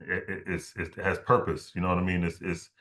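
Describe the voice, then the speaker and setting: A man hosting a podcast, interviewing in a casual, conversational way and haltingly repeating words as he frames his thoughts. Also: low-pitched, level tone, sharp tone. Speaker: podcast host.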